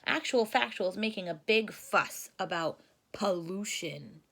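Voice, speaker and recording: A woman's voice: mid-range voice, unhurried, a bit bright. A woman voicing a folksy, dismissive father bear from a storybook, in a hearty, blustering and emphatic manner.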